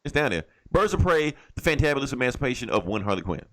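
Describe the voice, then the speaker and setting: Animated man chatting about movies, emphatic. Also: mid-range voice, fast talker. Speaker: podcast host. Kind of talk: casual opinionated movie chat with swearing.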